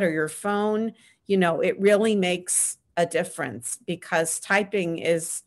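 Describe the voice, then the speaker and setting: A middle-aged woman on a video call panel, giving earnest practical advice and often pausing with "um". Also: lowish voice. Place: small home room with framed pictures. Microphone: webcam on a video call.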